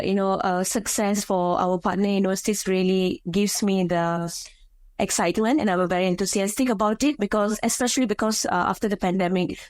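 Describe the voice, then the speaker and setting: A woman speaks as a panelist in an earnest way, with frequent hesitations and fillers. Her voice sits at a middle pitch. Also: darkish tone. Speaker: panelist, country director. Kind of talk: panel conversation.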